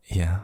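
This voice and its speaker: A man playing an affectionate, teasing young boyfriend in an intimate ASMR roleplay, murmuring softly and hesitantly with a breathy, gravelly voice. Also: dry recording. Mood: sleepy, attentive. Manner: soft, hesitant, drawling, teasing.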